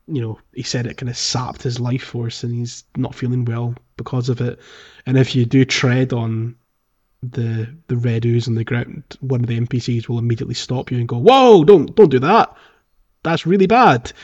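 A man's voice: mid-range voice, a little mellow, muffled recording. A man hosting a casual gaming discussion in a jokey way, sometimes acting out lines in character. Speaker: podcast host. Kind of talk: casual video game discussion.

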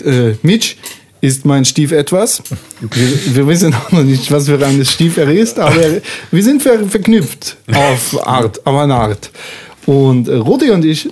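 A man's voice: mid-range voice, darkish tone, slight background noise, lightly acted. A man playing a secretive, poor trailer-dwelling painter in a tabletop game, groping for words in broken, foreign-sounding grammar. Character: halting, secretive male trailer-dwelling painter with little money.

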